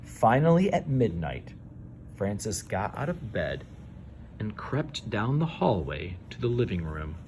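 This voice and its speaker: A man reading a children's story aloud, with measured delivery and dramatic pauses. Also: fairly low pitch, very animated, darkish tone, slight background noise. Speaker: storybook reader. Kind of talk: expressive children's read-aloud narration.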